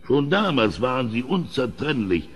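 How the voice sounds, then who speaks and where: A man's voice: medium pitch, sing-song, normal speed, faint music behind, lightly acted. An actor playing a cruel, drunken king in a German audio drama, domineering and mocking as he commands. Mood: merry. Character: cruel, drunken, bullying king, given to loud mirth.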